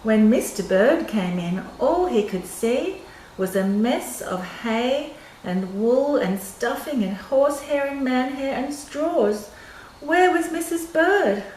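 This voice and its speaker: An older woman reads a children's story at a slow, unhurried pace in a fairly high voice. She is expressive and adds simple explanations for little listeners. The room echoes around her. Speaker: storyteller reading a picture book to children. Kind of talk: children's story read-aloud. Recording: roomy echo with clear background noise.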